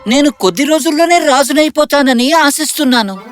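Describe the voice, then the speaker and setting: A man voicing a naive young prince in an animated fairy tale, boyish, earnest and a little petulant. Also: extremely high pitch, a little fast, soft background music. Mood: indignant.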